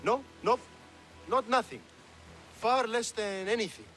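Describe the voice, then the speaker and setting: An actor playing a nervous, rambling guard who babbles excuses in a video game. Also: male voice, high voice, animated, unhurried, bright, forceful tone, soft background music, exaggerated voice. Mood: flustered. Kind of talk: comic video game voice acting.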